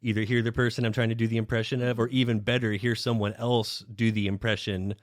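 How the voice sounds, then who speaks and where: A man's voice: on the low side, flat delivery, quick pace, no room sound, studio quality. Laid-back man hosting a podcast. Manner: laid-back, curious questioning. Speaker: podcast host. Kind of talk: casual podcast interview.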